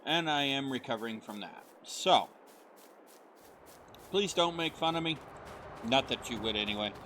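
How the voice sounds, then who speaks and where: Hoarse guy from the us chatting loosely over a farming game, lots of ums, faint hiss and engine noise behind. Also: medium pitch, expressive, normal speed, sharp tone. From United States. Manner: friendly, rambling, many fillers. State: hoarse, recovering voice. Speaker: gaming channel host. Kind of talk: casual let's-play commentary.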